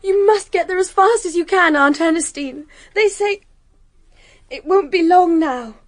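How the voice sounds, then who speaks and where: Distressed young woman in a radio play, super high voice, urgent n anxious. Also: measured pace. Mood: distraught, urgent. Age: young adult. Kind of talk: radio drama acting.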